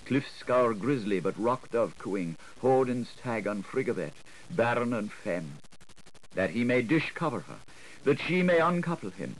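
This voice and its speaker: A man's voice: medium pitch, big pitch swings, measured pace, rough recording. A man giving a theatrical audiobook reading of literary prose, in a declamatory, rhythmic way. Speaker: audiobook narrator.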